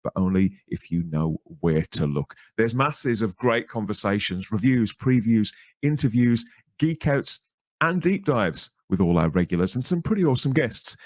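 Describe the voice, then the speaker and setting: A man's voice: fairly low pitch, animated, normal speed, dry recording. A man hosting a podcast, introducing and interviewing in a chatty, wordy manner with theatrical presenter phrasing. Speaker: podcast host.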